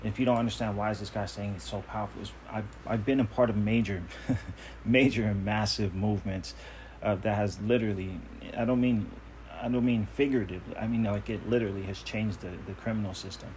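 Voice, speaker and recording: Low-voiced man, earnest podcast monologue. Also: fairly even intonation, fairly quick, a little mellow, a little hiss or hum. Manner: earnest, self-assured, emphatic. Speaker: podcast host.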